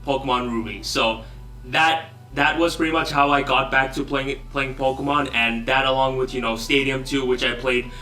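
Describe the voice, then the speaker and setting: A man's voice: mid-range voice, steady tone, normal speed, sharp tone, faint music behind. A young man from Bangladesh, a guest in a casual online interview, joking and self-deprecating as he rambles. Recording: roomy echo, distant from mic.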